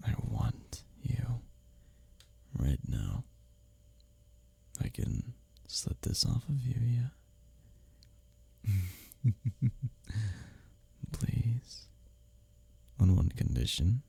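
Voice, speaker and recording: Husky, breathy low-key male lover played by an actor. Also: huge pitch swings, dry recording, full character voice. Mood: seductive. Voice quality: breathy, husky, often dropping to a whisper. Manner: halting, hushed, teasing, sighing delivery. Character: smitten male workplace rival, teasing and flustered lover. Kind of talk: intimate romantic roleplay audio, ASMR.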